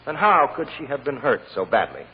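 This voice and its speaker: A man's voice: mid-range voice, huge pitch swings, fairly quick. An American actor playing a persuasive, earnest male defense lawyer in an old radio drama, articulate and insistent. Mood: earnest, pleading. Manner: articulate, insistent courtroom pleading. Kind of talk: 1940s-50s American radio drama acting. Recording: old radio recording, dull, hissy, long reverb.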